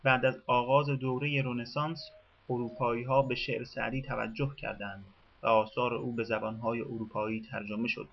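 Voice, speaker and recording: A man reads documentary narration in a measured, formal manner. His voice sits at a middle pitch. The sound is somewhat dull and lo-fi, like an older radio program. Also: flat delivery, creaky.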